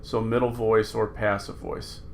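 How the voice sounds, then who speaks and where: Slow, gravelly-voiced male Greek teacher. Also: on the low side, little pitch movement, a little hiss or hum. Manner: unhurried, talking through slides with fillers. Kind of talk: recorded screen lesson.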